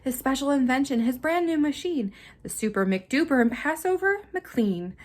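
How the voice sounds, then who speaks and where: Animated high-voiced woman reading to children. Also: moderate pace. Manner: animated, dramatic, simple explanations for young children. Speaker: storybook reader. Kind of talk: expressive children's read-aloud with short explanatory asides.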